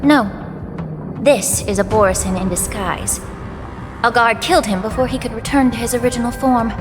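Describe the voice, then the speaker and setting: A woman's voice: on the high side, normal speed, natural voice. A woman voicing a composed, learned young woman in a video game cutscene, speaking politely and thoughtfully. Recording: long hall reverb. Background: game soundtrack music.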